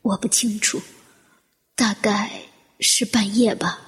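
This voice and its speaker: A woman playing an injured fire survivor in an audio drama, with a dramatic, deliberate delivery. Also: mid-range voice, fairly even intonation, a bit bright. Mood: subdued, guarded. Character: injured woman fire survivor, anguished then coolly guarded, narrating her ordeal. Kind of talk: Chinese audio drama acting with introspective narration. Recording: echoey hall-like reverb, music underneath.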